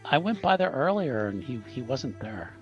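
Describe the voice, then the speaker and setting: A man's voice: medium pitch, sing-song, normal speed, faint music behind. A man playing a tabletop roleplaying game, talking in casual, improvised conversation, on a slightly lo-fi recording.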